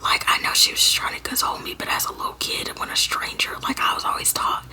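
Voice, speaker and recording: A young woman from Georgia in the US, telling a personal story to her viewers in a halting whisper, full of fillers and restarts. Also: bright, forceful tone, poor audio. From Georgia, USA. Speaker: storyteller vlogger.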